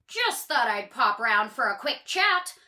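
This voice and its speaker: A woman's voice: fairly high pitch, very animated, a little slow, bright, forceful tone, heavily acted. A woman voicing a chatty male storybook neighbor who rambles on sociably. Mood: eager, chatty. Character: chatty, nosy male cartoon neighbor who never stops talking.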